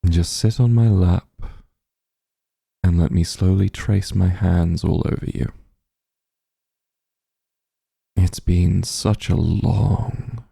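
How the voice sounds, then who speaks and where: A man playing a possessive, doting immortal demon lord in an intimate ASMR roleplay, teasing and smug, speaking softly in a gravelly, breathy voice. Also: low-pitched, no room sound, studio quality, exaggerated voice. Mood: soothing, tender. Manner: slow, soft, teasing coaxing.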